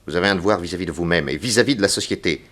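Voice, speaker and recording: A man playing a grave, persuasive detective in a 1960s French radio serial, formal, deliberate and insistent. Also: low voice, a bit bright. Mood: firm, commanding. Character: grave, persuasive male detective, solemnly warning a young woman.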